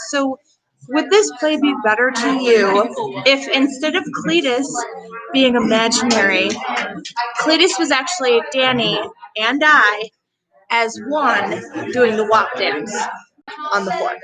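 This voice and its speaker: A woman's voice: fairly high pitch, poor audio. A woman hosting an online staged reading with casual, improvised chat in a playful, rambling way.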